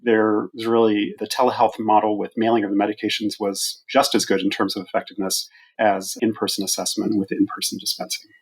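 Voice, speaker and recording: A male physician researcher talking through evidence in an interview, deliberate and stressing key words. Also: fairly low pitch, flat delivery, husky, rough recording.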